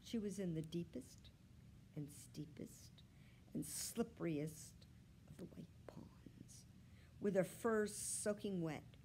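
An earnest, fretful pet dog narrates a children's story, voiced by a woman. The reading is unhurried and very animated, confiding in tone, with faint noise in the background. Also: mid-range voice, poor audio.

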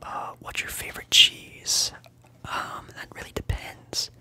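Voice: measured pace, bright, forceful tone. A young adult ASMR livestream host whispering casually to viewers, rambling with fillers and repeating trigger words. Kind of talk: whispered ASMR chat answering live viewers.

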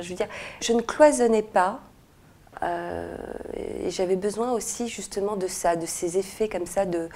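A woman in her forties, an author, answering interview questions thoughtfully with frequent pauses and restarts, recorded on a lapel mic in a quiet indoor room. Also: fairly low pitch, a little hiss or hum. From France and Morocco. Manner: thoughtful, many hesitations and restarts. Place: indoor room with red walls, seated in armchair.

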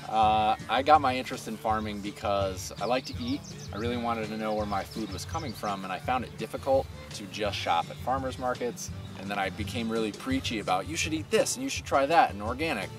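A man's voice: on the low side, a little fast, few pauses. A man in his thirties speaking as a farmer in a casual outdoor interview, conversational and earnest. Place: open farm field outdoors. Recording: distant outdoor camera mic, music underneath.

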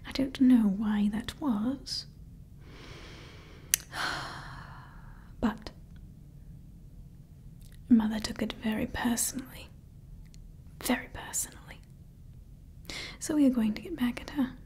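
A woman voicing a scheming female evil scientist who calls herself Mother, in a slow, soft, conspiratorial whisper for ASMR roleplay on a close microphone. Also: fairly high pitch, slight background noise. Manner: slow, intimate, conspiratorial ranting. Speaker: ASMR creator.

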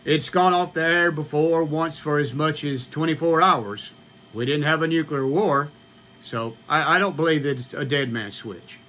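A man in his sixties with an American accent, explaining informally to camera in a halting, matter-of-fact way. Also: on the high side, sharp tone, a little hiss or hum. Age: 60s. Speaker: ham radio vlogger. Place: small home radio room, indoors.

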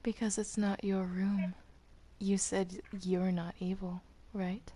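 A woman playing a defensive, flustered male captive in an improvised game roleplay, halting and stammering as they protest. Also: medium pitch, little pitch movement, mellow. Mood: defensive, wary. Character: defensive reluctant captive Bendy, male cartoon demon, flustered.

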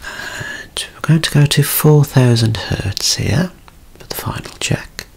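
A man in his thirties doing a soft-spoken ASMR medical roleplay as a doctor, with a reassuring clinical bedside manner, close up in a small room. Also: mid-range voice, lively intonation, slow pace, vocal fry. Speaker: ASMR creator acting as a doctor.

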